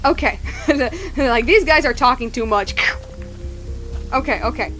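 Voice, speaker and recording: A woman's voice: on the high side, measured pace, a bit bright, soft background music, rough recording. A woman streamer giving casual gameplay commentary, thinking aloud in repeated questions. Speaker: game streamer.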